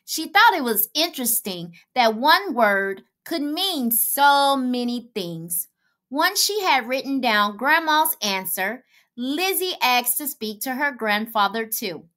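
A woman reads a children's story aloud with a bright, high voice. Her delivery is slow and expressive, as scripted narration meant for young listeners. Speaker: children's book reader.

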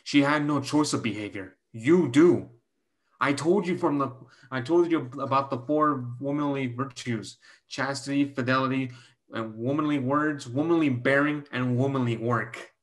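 Stern, protective male guardian, read by a young man, medium pitch, solemn measured stage-reading delivery. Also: lightly acted. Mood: solemn, insistent. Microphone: video call.

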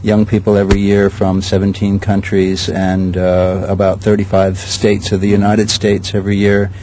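Low, flat-voiced older man. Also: slight background noise, low-quality recording. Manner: measured, many "uh" pauses. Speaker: sailing school director. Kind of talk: outdoor interview answers. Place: outdoors at a regatta.

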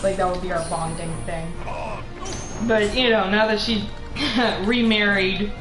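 Animated young woman chatting, roomy sound. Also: mid-range voice, a little slow. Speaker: co-host of a game stream. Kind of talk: casual chat over gameplay. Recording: roomy stream with game music behind. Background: video game music.

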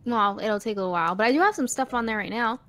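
A woman's voice: a little high, very fast, fairly bright tone, lightly acted. A woman playing an easygoing, reassuring tinkerer in an improvised Minecraft roleplay, speaking casually. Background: background music.

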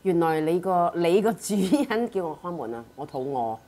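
A woman's voice: lowish voice, animated, moderate pace, dark tone. A woman with a Hong Kong Cantonese accent chatting casually and sharing personal anecdotes as a podcast co-host.

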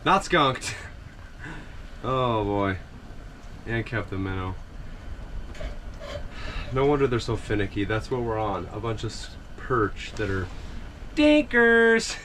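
A man's voice: on the low side, very animated, slow pace, pauses often, fairly bright tone. A young man with a North Dakota accent, casually chatting for his vlog inside an ice fishing tent. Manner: easygoing, jokey banter. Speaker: vlogger. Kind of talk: casual outdoor vlog chat. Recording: noisy camera audio in a tent.

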